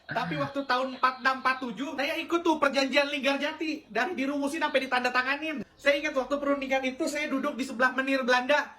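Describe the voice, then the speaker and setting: A stand-up comic with a high voice works through a deadpan monologue and circles back to the same running gag again and again. The delivery stays flat and matter-of-fact, letting the absurd claims land on their own. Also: fast talker. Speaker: stand-up comedian. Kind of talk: stand-up comedy bit.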